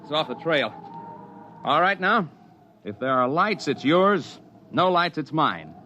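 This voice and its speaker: An actor playing a steady, hearty mountain climber who tells his own tale in a 1950s radio drama. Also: fairly high pitch, unhurried, slight background noise, exaggerated voice. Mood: brisk, wagering. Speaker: narrator and character. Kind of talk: 1950s radio drama, first-person narration and dialogue. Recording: dull band-limited 1950s radio recording.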